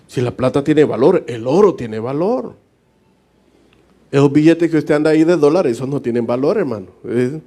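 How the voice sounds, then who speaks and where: A man's voice: medium pitch, dark tone. A man from El Salvador with a Salvadoran accent, giving an informal, conversational sermon as a pastor in a colloquial, joking manner. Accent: Salvadoran Spanish. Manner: colloquial and joking, often chuckles and acts out short dialogues. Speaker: pastor giving a Bible study.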